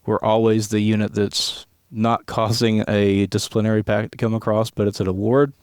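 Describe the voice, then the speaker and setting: A man in his late thirties from Tennessee, a police aviation unit leader telling his life story in a relaxed podcast interview, chuckling often. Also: on the low side, normal speed, smooth, dry recording, studio quality. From West Tennessee, USA. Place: hangar office.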